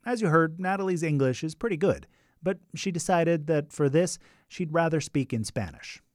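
A man's voice: on the high side, lively intonation, normal speed, a little mellow, dry recording, studio quality. A man narrating a radio documentary in a scripted but conversational way, with wry asides.

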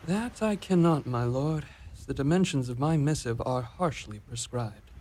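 An actor playing an enigmatic spirit servant in a radio drama, with measured, archaic diction. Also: male voice, mid-range voice, animated, mellow, lightly acted. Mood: cool, unmoved. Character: airy male sea-island spirit, enigmatic servant of a magician. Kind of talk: mock-Shakespearean radio drama acting.

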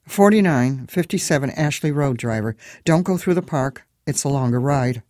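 Worn out older widow lady, read by a man, plain and flat. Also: mid-range voice, normal speed, no room sound, studio quality, natural voice. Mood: weary. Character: older widowed woman, weary and stubborn.

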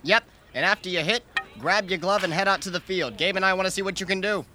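Actor as deadpan baseball skipper over noise. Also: male voice, high voice, quick pace, natural voice. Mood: sour, sarcastic. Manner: dry, deadpan wisecracks. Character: sour, sarcastic American baseball manager. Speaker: student actor. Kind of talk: radio comedy acting.

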